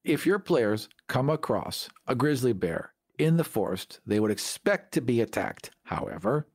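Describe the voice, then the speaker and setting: A man's voice: medium pitch, big pitch swings, normal speed, darkish tone, dry recording, clean studio sound. A man co-hosting a podcast, moving between promo reads and discussion. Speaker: podcast producer and co-host.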